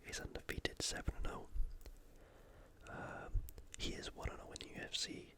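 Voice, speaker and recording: A host whispering an informal, opinionated sports preview in ASMR style, all breath and no voice. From United Kingdom.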